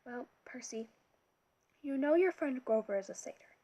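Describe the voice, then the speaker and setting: A woman reading an audiobook, voicing a kindly, learned male teacher who speaks gently and with measured patience. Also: high-pitched, lively intonation, muffled recording, pitched above the performer's own voice. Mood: gentle, earnest.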